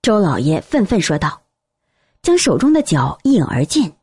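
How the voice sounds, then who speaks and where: A woman reading a novel aloud as an expressive, scripted audiobook narrator. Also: on the low side, normal speed, slightly rough, no echo.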